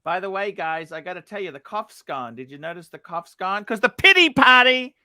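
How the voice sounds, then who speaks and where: An excitable man hosting a livestream, jokey and loud as he reads donations and calls out to chatters. His voice sits high and sounds bright and forceful. The sound is dry and close, with little room around it. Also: fairly quick, smooth, no echo. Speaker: livestream host. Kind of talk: casual livestream chat, reading out donations.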